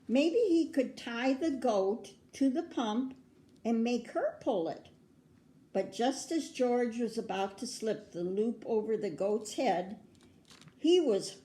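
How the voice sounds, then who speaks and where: An older woman with an American accent reads a picture book aloud to children in a grandmotherly way, pausing to put questions to her young listeners. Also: on the high side, mellow. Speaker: children's story reader. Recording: roomy, echoing indoor sound.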